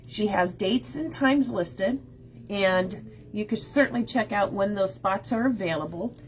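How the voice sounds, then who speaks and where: A woman's voice: mid-range voice, unhurried. Scratchy-voiced woman hosting a live stream. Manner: friendly, greets viewers by name, many "um"s. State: scratchy, a bit nasal from a cold. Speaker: shop owner hosting a craft livestream. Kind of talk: casual live-stream chat.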